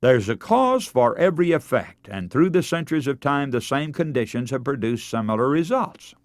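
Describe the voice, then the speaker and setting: A man's voice: mid-range voice, big pitch swings, normal speed, no room sound. A man with an American accent delivering a scripted radio sermon in a formal, emphatic, oratorical way. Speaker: radio preacher and broadcast host.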